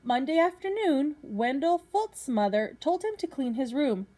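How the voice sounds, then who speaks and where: Female, teacher type, higher-pitched voice, reading a storybook to children and checking in with them. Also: very animated, dark tone. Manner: pauses to put questions to young listeners. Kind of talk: read-aloud of a children's picture book.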